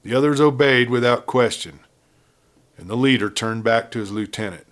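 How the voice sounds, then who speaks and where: Male Western storyteller, medium-pitched. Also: normal speed. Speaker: storyteller, audiobook narrator. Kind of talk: scripted narration of a Western novel.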